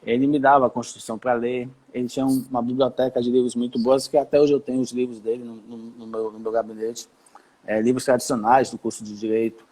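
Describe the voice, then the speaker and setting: A man's voice: mid-range voice, fast talker, rough recording. A man speaks on a video call. He tells his story in a loose, rambling way, restarting his sentences often. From Brazil, Salvador. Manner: relaxed, rambling, many restarts. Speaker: federal judge. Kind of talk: live-stream interview, telling his career story.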